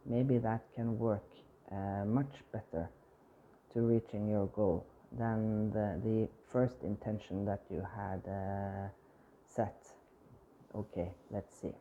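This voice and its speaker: A man's voice: fairly low pitch, steady tone, slow pace, phone-quality sound. A man giving a tarot reading with a scratchy, strained voice, hesitant and full of fillers and restarts. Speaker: tarot reader.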